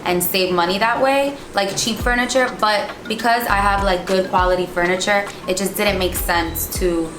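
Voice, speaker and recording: A woman's voice: mid-range voice, normal speed, few pauses, noisy background. A young woman from New York and New Jersey in the United States, telling her experience casually as an interview guest. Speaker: interview guest, business owner. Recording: echoey room with music behind.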